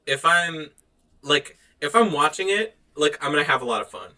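A man's voice: a little high, lively intonation, normal speed, sharp tone. A man co-hosting a podcast, chatting casually about movies in a blunt, opinionated way. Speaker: podcast co-host.

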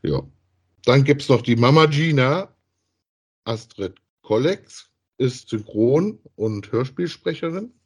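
A man's voice: mid-range voice, lively intonation, takes it slowly, breathy edge. A man co-hosting a podcast, speaking in a casual, conversational style. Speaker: podcast co-host. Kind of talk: casual chat about audio drama voice actors.

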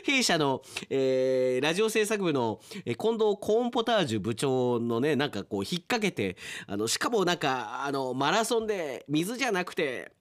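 A man's voice: fairly high pitch, normal speed, hardly any pauses. A man hosting a radio aftertalk, reading listener mail in casual chat and reacting with amused asides. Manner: animated, reacts with amused asides to what is read.

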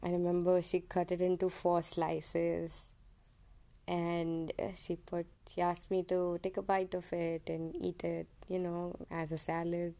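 A woman's voice: lowish voice, monotone, measured pace, warm tone. A young woman from India with an Indian English accent that drifts toward American, hosting her own podcast in a hesitant, personal monologue full of ums. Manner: hesitant, many ums and self-corrections. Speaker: podcast host.

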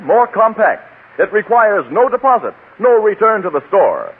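A man's voice: sits high, breathy edge. An American man working as a radio announcer, reading a script in polished 1940s broadcast style. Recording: tinny 1940s radio with faint music.

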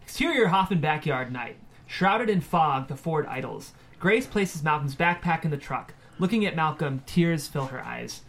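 A man reading scripted screenplay narration and stage directions in a measured way. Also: on the high side, animated, a bit bright.

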